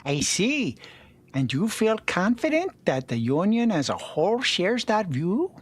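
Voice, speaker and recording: Cool imperial strategist, male performer, formal, measured phrasing with lively intonation, amateur space opera theatre. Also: high voice, husky, heavily acted. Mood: cool, assured. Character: calculating, coolly courteous imperial admiral.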